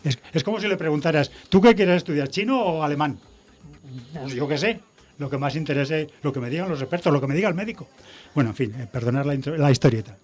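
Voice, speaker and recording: A man's voice: on the high side, fast talker. A middle-aged man from Cheste, hosting a radio talk show and arguing his views in a rambling way with many restarts. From Cheste, Valencia, Spain. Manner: rambling, restarts words, argues with everyday comparisons. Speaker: radio host.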